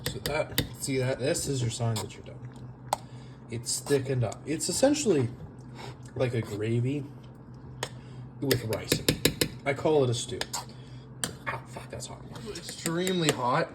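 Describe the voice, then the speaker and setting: A young man rambles through a home cooking vlog, full of fillers and poking fun at himself. His voice sits at an ordinary pitch and is picked up on a camera mic in his kitchen, and he sometimes drifts away from it. A steady hiss of noise runs under the whole recording. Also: unhurried, long pauses. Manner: self-deprecating, rambling, filler-heavy. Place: home kitchen, moving around the stove.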